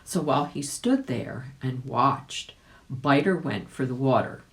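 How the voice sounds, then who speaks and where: A woman reading a children's story aloud in an expressive, animated way, addressing young listeners directly. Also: a bass voice, a little slow, creaky. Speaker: volunteer audiobook reader.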